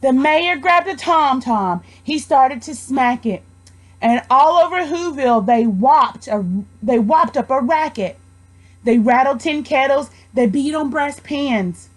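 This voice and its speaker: A woman's voice: high voice, lively intonation, slow, poor audio. A young woman reading a rhyming children's story aloud expressively. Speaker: storybook reader, education student.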